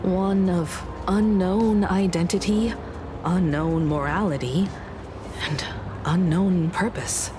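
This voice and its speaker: A woman voicing a composed, scholarly woman in an anime-style game, speaking with solemn, measured eloquence. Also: lowish voice, background noise. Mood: grave. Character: composed, scholarly woman archivist, grave and analytical.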